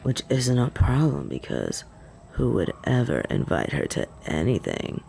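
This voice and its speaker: A woman's voice: very deep voice, huge pitch swings, a little slow, gravelly, warm tone, slight background noise, voice pushed down, heavily acted. A woman voicing a cocky, flirty female werewolf in a roleplay audio drama, possessive and teasing with a drawl. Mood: smug, coaxing.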